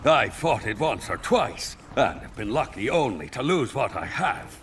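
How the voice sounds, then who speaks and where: A man's voice: mid-range voice, very animated, unhurried, a bit bright. An actor playing a jovial, boastful Viking craftsman and warrior in a game. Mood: proud. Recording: game audio with background noise.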